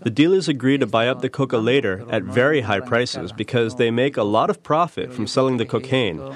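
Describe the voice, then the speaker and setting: A man's voice: medium pitch, animated, brisk. A man reading an interpreter's voice-over in a plain, matter-of-fact way. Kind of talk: read voice-over translation.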